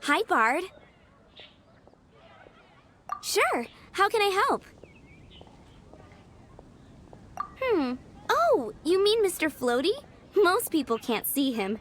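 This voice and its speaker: A woman's voice: extremely high pitch, very animated, measured pace, fairly bright tone, slight background noise, full character voice. A woman voicing a sweet, dreamy, chatty little girl with an earnest childlike manner in an anime-style video game. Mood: friendly, earnest. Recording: background music under the voice.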